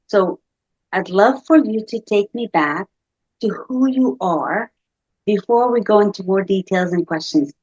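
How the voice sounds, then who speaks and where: A woman's voice: medium pitch, no room sound. A woman hosting a podcast interview, greeting her guest effusively and asking about her background. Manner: warm, effusive welcomes. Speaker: podcast host. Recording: dry, dull lo-fi sound.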